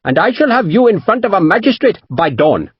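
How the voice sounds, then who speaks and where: A man's voice: medium pitch, lively intonation, slow pace, slightly rough, no room sound, dull audio. A man voicing a merchant who answers with fierce defiance. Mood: defiant.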